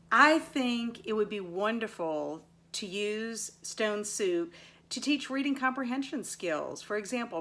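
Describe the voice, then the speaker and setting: A woman talks through books in a casual vlog style. Her pace is slow and unhurried, with a medium pitch for a woman. She leans on certain words for emphasis as she recaps each story. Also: a bit bright. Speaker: book reviewer and readathon host; teacher. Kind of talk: casual book review vlog, recapping and summarizing stories.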